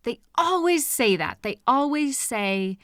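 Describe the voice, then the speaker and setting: A woman playing a possessive, sweetly menacing stalker in an intimate roleplay audio drama, coaxing softly with lingering pauses. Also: high voice, lively intonation, dry recording, clean studio sound, heavily acted. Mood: devoted, coaxing.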